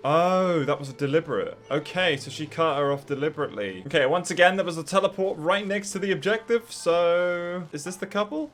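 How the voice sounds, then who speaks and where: Low-voiced woman, casual gameplay commentary. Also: a little fast, few pauses. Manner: low-key, brief reactions. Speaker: gameplay video creator. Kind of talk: casual let's-play commentary.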